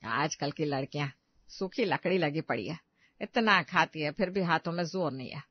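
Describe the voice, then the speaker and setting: A woman's voice: low-pitched, lively intonation, normal speed, slightly rough, no echo, muffled recording. A woman voicing a boastful, scolding middle-aged mother-in-law in a cartoon moral story. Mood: grumbling. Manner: scolding, complaining.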